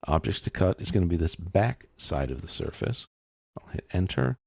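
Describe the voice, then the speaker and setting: A man giving an informal step-by-step software tutorial, explaining casually as he thinks aloud. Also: deep voice, lively intonation, normal speed, creaky, husky, dry recording, studio quality.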